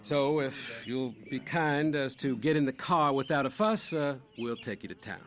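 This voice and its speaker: Guy playing a stiff formal fed agent in an old radio play, middle voice, measured, a bit smug. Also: mid-range voice, lively intonation, fairly bright tone, close to an everyday voice. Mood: stern, official. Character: formal, officious federal revenue agent. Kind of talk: old-time radio drama acting.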